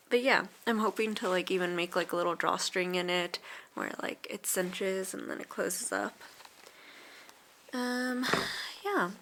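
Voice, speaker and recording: Late-twenties woman, casual vlogger, unhurried and a bit gravelly, easygoing rambling delivery. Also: mid-range voice, slight background noise. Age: 20s. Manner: relaxed, rambling with frequent fillers and soft laughs. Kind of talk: casual vlog chat.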